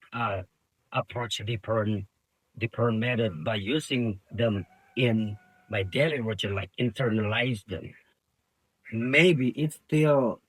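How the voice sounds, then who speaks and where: A man with an Indonesian accent speaking English as a non-native language, giving informal advice in a halting way and repeating words and phrases. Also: medium pitch, poor audio. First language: Indonesian, Sundanese and Javanese. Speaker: language learner giving study tips.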